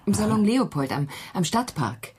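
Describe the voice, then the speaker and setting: A woman's voice: mid-range voice, very animated, normal speed, a little mellow, breathy edge. A woman playing a wary, subdued, newly widowed hairdresser in a German radio play, speaking hesitantly. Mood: subdued, confiding.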